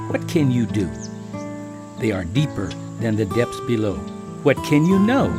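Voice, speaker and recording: An actor playing an indignant, self-righteous man who scolds and lectures a suffering friend in declamatory scripture drama. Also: mid-range voice. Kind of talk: dramatized scripture reading, theatrical oration.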